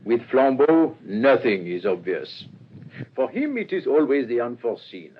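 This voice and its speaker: A man acting in a radio drama as a courteous, astute middle-aged police inspector, speaking in a measured, formal, ruminative way. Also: medium pitch, big pitch swings. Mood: formal, assured. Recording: muffled, lo-fi radio recording, faint noise.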